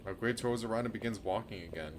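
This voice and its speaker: A man's voice: lowish voice, fast, background noise. A man streaming a game, reading a visual novel aloud and adding casual commentary.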